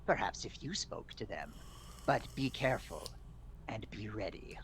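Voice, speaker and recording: A man's voice: fairly low pitch, animated, normal speed, fairly bright tone, slight background noise, low-quality recording. A man voicing a wary, formal male lizard-folk traveler asking a stranger for help. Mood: earnest worried.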